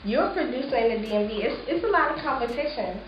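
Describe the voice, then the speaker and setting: A woman hosting a casual studio interview, playful and teasing, dropping frequent shout-outs. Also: high voice, animated, fast, muffled recording. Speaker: interview host. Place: small recording studio. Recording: echoey studio, noisy.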